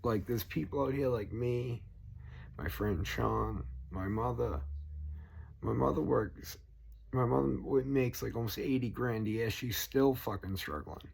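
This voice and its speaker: A man's voice: medium pitch, unhurried, gravelly, darkish tone, slightly rough, poor audio. A man with a Boston accent ranting at length, swearing constantly and rambling. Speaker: political vlogger. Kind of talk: profane rambling rant to camera.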